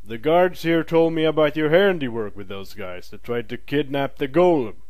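A man playing a brisk, pragmatic military recruiter in an audio drama, matter-of-fact and wry. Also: fairly high pitch, lively intonation, a little mellow, no room sound, lightly acted.

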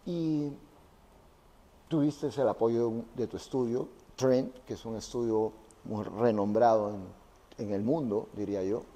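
A man's voice: medium pitch, steady tone, measured pace, warm tone. A man interviewing a guest in a conversational style, in a reverberant room.

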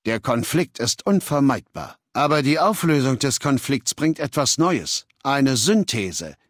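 A learned, ruthless male dictator in a video game. He lectures with articulate condescension at a middling pitch. The recording is dry, with no sense of a room. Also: big pitch swings, a little slow, no room sound. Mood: condescending, lecturing. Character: cold, learned warlord dictator of a slaver legion.

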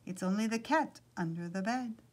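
A woman's voice: mid-range voice, normal speed, close to an everyday voice. A woman voicing a small, sly male leprechaun from a storybook, with an Irish accent and a lilting, coaxing manner. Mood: sly soothing.

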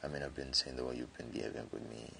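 A man voicing a jealous tsundere friend confessing hidden love, speaking haltingly in an intimate boyfriend ASMR roleplay. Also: fairly even intonation, sharp tone, slight background noise, heavily acted. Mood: hesitant, sulky. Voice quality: very deep, gravelly. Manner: hesitant, halting, with stammered repeats.

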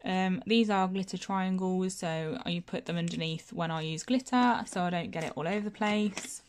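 A woman's voice: on the low side, normal speed. A young woman, a nail technician and channel host, chatting casually through a tour of her home nail room, a bit sniffly from hay fever, with lots of fillers and restarts. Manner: chatty, self-deprecating, many fillers and restarts.

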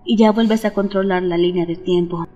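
A woman voicing a gentle, emotional young boy goat monster in a Latin American Spanish cartoon fandub, with music behind. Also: on the low side, steady tone, normal speed, dull audio, natural voice. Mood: calm, gentle. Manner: earnest, emotional. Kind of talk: dramatic animation fandub.

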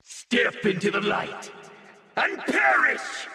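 A man's voice: very high-pitched, very animated, slow pace, creaky, bright, forceful tone, exaggerated voice. A man voicing a domineering fantasy champion in a video game, theatrically declaiming threats in a reverberant space. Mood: fierce. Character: domineering, menacing fantasy champions passing doom. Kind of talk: video game battle cries. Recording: echoey, reverberant hall effect.

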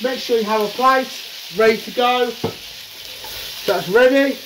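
A man's voice: very high-pitched, unhurried. Man, casual cooking talk, home kitchen, noisy phone recording. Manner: chatty, keeps saying "guys", working class speech. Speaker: home cooking show host. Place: home kitchen at the stove, frying sounds.

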